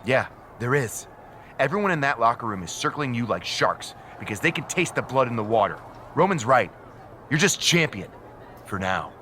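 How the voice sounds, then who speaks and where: An actor playing a smug, self-important, emphatic wrestling general manager in a video game cutscene. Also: male voice, medium pitch, very animated, moderate pace, pushed and bright, a little hiss or hum. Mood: smug, menacing.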